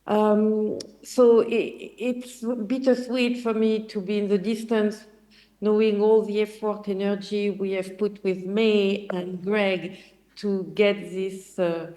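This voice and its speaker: A woman with a French accent speaking remotely to a panel over a video call, in a measured, earnest way. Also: mid-range voice, flat delivery, mellow, rough recording.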